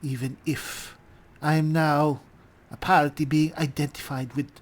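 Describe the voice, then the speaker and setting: A man playing a haughty, wry high elf spellsword in a fantasy game roleplay, speaking deliberately with musing pauses. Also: flat delivery, natural voice. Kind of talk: roleplay acting over a fantasy video game.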